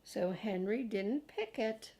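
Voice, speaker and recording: A woman's voice: medium pitch, animated, slow, dark tone. An older woman reading a children's storybook aloud as a grandmother, expressively and with casual asides.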